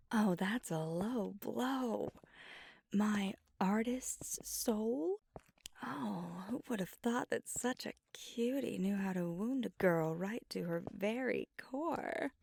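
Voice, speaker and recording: A woman acts out a flirty, affectionate female vampire in an intimate ASMR roleplay. Her voice is soft and breathy with a creaky edge, often slipping into a whisper. She takes her time, teasing playfully, and sounds very dry and close. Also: a little high, no echo, heavily acted. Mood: playfully indignant. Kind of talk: ASMR roleplay, intimate one-sided dialogue.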